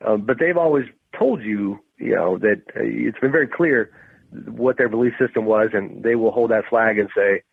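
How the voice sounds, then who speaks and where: A man's voice: on the low side, normal speed, gravelly, breathy edge, no echo, phone-quality sound. A man giving analytical sports commentary in a conversational, emphatic way. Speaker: sports podcast host.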